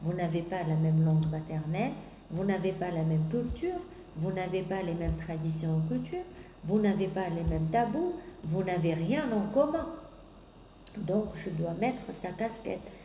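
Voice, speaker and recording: A woman business coach giving a sales coaching talk, pausing often on drawn-out fillers and listing points in repetition. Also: fairly low pitch, dark tone. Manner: hesitant, many drawn-out "euh" fillers, repetitive lists. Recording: echoey room with faint background noise.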